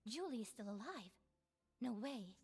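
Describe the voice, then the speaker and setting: A woman voicing a tiny, excitable talking cat companion in an anime-style game, with a squeaky voice. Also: normal speed, husky. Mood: shocked, indignant.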